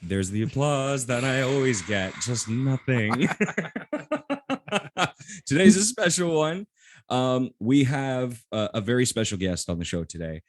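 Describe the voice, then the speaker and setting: A man's voice: medium pitch, animated, measured pace. A man hosting a podcast, joking and rambling in a casual chat. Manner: joking, rambling, laughs often. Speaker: podcast host.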